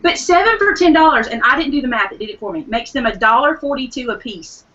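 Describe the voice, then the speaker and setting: A woman co-hosts a live online craft show, eagerly running through shopping deals. Her voice is bright and a little high, even as she is losing it. The stream audio sounds dull and lo-fi. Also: fast talker. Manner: enthusiastic deal announcer. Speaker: co-host.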